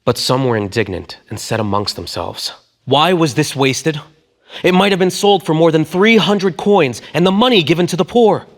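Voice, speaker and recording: A man's voice: fairly high pitch, animated, normal speed. A man giving a dramatic reading of scripture as a voice actor, acting out quoted dialogue with emphasis.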